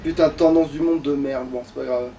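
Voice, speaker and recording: A man's voice: mid-range voice, steady tone, fairly quick, creaky, mellow, a little hiss or hum. A man giving casual, crude, grumbling commentary as he plays a video game. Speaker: let's player playing a video game. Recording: distant mic, music behind.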